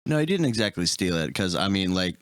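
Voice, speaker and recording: A man with a deep voice joins a casual film discussion. He talks conversationally and reasons through his points, recorded close with very little room sound. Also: very animated, fairly quick, no echo.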